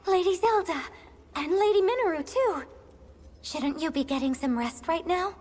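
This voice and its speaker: A woman's voice: extremely high pitch, lively intonation, normal speed, darkish tone. A woman voicing a devoted, earnest retainer in a video game cutscene, respectful in manner. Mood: concerned. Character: devoted earnest female mural maker, loyal to her lady.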